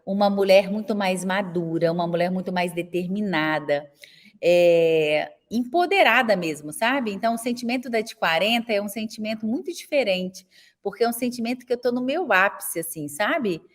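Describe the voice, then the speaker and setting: A woman's voice: medium pitch, lively intonation, moderate pace. A woman in her forties with a Brazilian accent, teaching a live online class for elder caregivers in a chatty way and often checking in with her viewers. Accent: Brazilian Portuguese. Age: 40s. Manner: chatty, tags nearly every sentence with a question to viewers.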